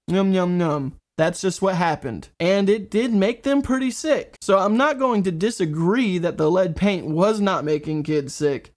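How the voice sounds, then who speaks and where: A man in his thirties hosting a reaction show, talking casually to camera from a home studio, still getting over a chest cold. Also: sits high. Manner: chatty, candid, laughs at himself. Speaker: host reacting to clips. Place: home studio with neon lights.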